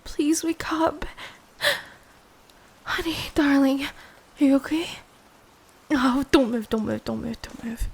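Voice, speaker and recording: A woman's voice: high-pitched, slow, pauses often, full character voice. A woman acting a frightened young woman stranded with her partner in an improvised horror roleplay, sighing, swearing and sometimes dropping into a whisper. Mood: distraught, pleading. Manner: breathy sighs, drops into whispers, sweary. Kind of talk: improvised ASMR audio roleplay.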